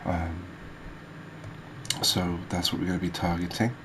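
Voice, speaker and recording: A man hosting a gaming video, giving soft ASMR commentary in a halting, hesitant manner. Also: low voice. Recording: steady background noise.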